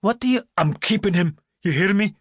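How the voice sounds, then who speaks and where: A man's voice: high voice, expressive, normal speed, fairly bright tone, husky, dry recording, studio quality, voice pushed up, full character voice. A man voicing a distressed, defiant young maid in an audiobook, barking out words with desperation. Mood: desperate, defiant. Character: defiant, distressed young maid, a girl clutching her baby.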